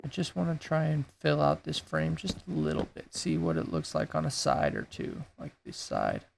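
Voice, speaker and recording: A man with a raspy voice that is going hoarse, thinking aloud in a laid-back way while he builds in a game. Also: a little high, wildly swooping pitch, moderate pace, background noise. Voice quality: gravelly, raspy. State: losing his voice. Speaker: gaming YouTuber. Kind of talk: casual gameplay commentary.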